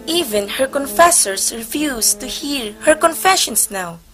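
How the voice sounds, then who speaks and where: A woman's voice: sits high, expressive, measured pace, fairly bright tone, faint music behind. A woman playing a haughty, suspicious nun in a stage play, with scornful and emphatic delivery. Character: haughty, suspicious nun sneering at Teresa's arrogance. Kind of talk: amateur stage play acting.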